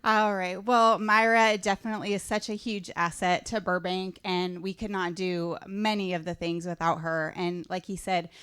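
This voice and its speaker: A woman gives an informal tribute to a coworker. Her voice is fairly low and very clear, and she speaks in a heartfelt way, halting as she searches for words. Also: steady tone, fairly bright tone. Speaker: school staff member honoring a colleague.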